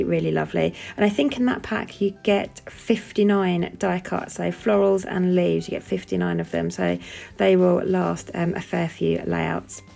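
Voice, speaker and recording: Brief: woman crafter with a head cold, very gravelly fry, chatty and full of "um"s. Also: medium pitch, darkish tone. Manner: chatty, lots of "um" fillers. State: has a cold, sniffling. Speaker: scrapbooking crafter demonstrating a layout. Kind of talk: casual voice-over for a craft process video.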